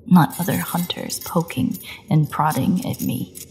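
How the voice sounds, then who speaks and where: Woman playing a slow, gravelly, sardonic female vampire. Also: low voice, sing-song, darkish tone, soft background music, full character voice, low-quality recording. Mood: strained, resigned. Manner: sardonic, teasing, breathy half-whispers, slow deliberate delivery. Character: starving captive female vampire, taunting, flirtatious, desperate. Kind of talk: scripted audio roleplay monologue.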